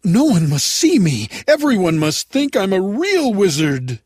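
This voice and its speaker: A man's voice: huge pitch swings, unhurried, breathy edge, dry recording, studio quality, heavily acted. A man voicing a timid little old man who poses as a great wizard in a storybook reading, talking meekly. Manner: booming at first, then meek and apologetic.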